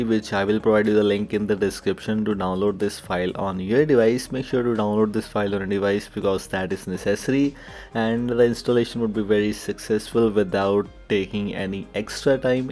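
A man's voice: on the low side, darkish tone. A young man, hosting a casual tech tutorial and explaining things step by step in a repetitive way. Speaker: tech YouTuber and host.

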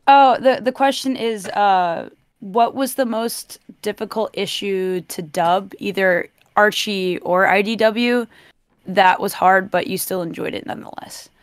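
A woman's voice: mid-range voice, a little slow, dry recording. A woman speaking casually as an audience member putting a question to a panel.

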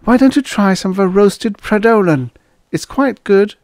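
A man's voice: high-pitched, animated, moderate pace, a little mellow, no echo, clean studio sound, voice pushed up. A man voicing a sympathetic, unhappy and guarded young waitress in a science fiction story. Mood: sad, guarded.

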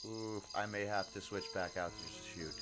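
A man's voice: lowish voice, fairly even intonation, moderate pace, heavy background noise. A man chatting casually as he plays a game as a guest. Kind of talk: casual gameplay chat. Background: horror game music and sounds.

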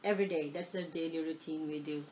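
A middle-aged woman from the Philippines with a Filipino accent, answering oral history questions in a living room, animated, with many fillers. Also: low-pitched, fast talker, slight background noise. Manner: animated, gestures while talking, frequent fillers. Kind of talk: casual oral history interview. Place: living room, seated on a leather sofa.

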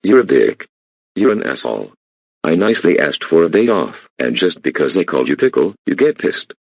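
A man's voice: sits low, big pitch swings, normal speed, vocal fry, breathy edge, no room sound, heavily acted. A man voicing a stressed, defiant employee who whines and complains. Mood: defensive. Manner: whining, complaining. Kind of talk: amateur voice acting.

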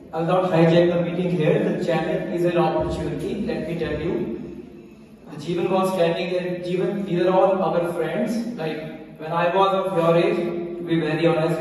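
A man's voice: on the high side, darkish tone, a little hiss or hum. A young man with a Punjabi accent, a native Punjabi speaker, earnestly telling students a personal story into a handheld mic, often asking "right?". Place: large school hall, indoors. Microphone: handheld mic, far camera. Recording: echoey hall recorded from far off.

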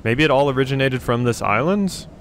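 A man's voice: medium pitch, lively intonation, fairly quick, a little hiss or hum. A man giving casual let's play commentary, thinking aloud as he goes. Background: game ambience.

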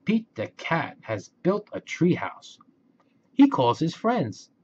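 A man reading a children's story aloud in a simple, expressive way, on a slightly dull home recording. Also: fairly high pitch, slow, breathy edge. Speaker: picture book reader.

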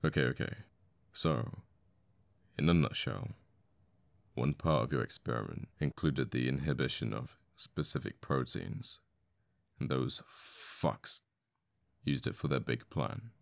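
A very deep, gravelly voice belongs to a conflicted assassin boyfriend played by a man in an intimate audio roleplay. He talks slowly and haltingly, protective and tender toward his lover. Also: no echo, heavily acted. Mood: grudging, attentive.

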